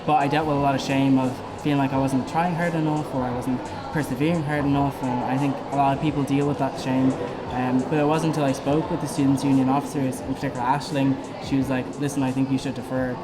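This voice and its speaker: A man's voice: medium pitch, rough recording. A young man in his twenties with a Dublin Irish accent, answering questions earnestly for a student election campaign video, with frequent ums. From Ireland. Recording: music playing under the voice. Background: background music.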